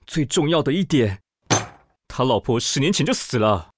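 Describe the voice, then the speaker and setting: A man's voice: mid-range voice, big pitch swings, moderate pace, background noise, close to an everyday voice. A man playing a theatrical, swooping Taiwanese magazine director. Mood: indignant, insistent. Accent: Taiwanese Mandarin. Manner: theatrical, bossy, haughty. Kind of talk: radio drama acting.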